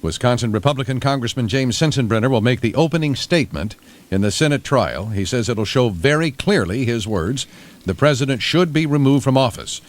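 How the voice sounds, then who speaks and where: Man, American, radio newsreader, lively intonation, mid-pitched, scripted bulletins with dry asides. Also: fairly quick. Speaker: radio newscaster. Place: radio studio.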